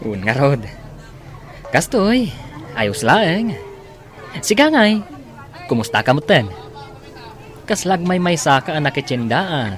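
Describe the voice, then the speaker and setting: Actor as an easygoing, gossipy Filipino buddy. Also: male voice, fairly high pitch, very animated, takes it slowly, pauses often, a bit bright, a faint crowd, full character voice. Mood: friendly, chatty. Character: easygoing, gossipy male friend. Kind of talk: audio drama acting.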